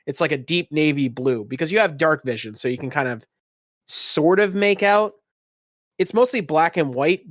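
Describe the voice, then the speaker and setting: A man's voice: fairly high pitch, no room sound, clean studio sound. A man working as game master, improvising casual narration for a tabletop game.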